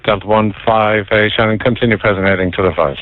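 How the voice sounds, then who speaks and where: A man's voice: fairly low pitch, steady tone, fast talker. A man working as an air traffic controller, giving clipped, procedural instructions over radio. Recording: narrow phone-like air traffic radio channel.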